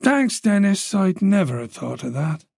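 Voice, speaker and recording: A man voicing a tired police detective who stays calm and reassuring. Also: high-pitched, unhurried, creaky, no echo, studio quality, pitched above the performer's own voice, exaggerated voice. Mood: weary. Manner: weary but calm and reassuring.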